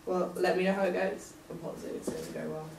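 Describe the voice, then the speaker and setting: A woman's voice: lowish voice, flat delivery, fast talker, creaky. A woman reading the part of a caring, teasing young friend at a drama table read, recorded at a distance in an echoey, noisy room. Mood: encouraging.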